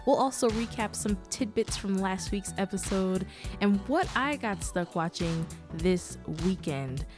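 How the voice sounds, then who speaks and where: A woman hosting her own podcast in a casual solo chat, friendly and talkative, sounding nasal and congested from allergies, with frequent laughs and sisterly asides. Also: medium pitch. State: congested, blocked sinuses. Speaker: podcast host.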